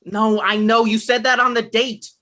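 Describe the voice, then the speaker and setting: An actor playing an awkward, eager, put-upon young job seeker with a halting, flustered way of talking in a comedy table read. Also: male voice, high voice, little pitch movement, sharp tone. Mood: exasperated.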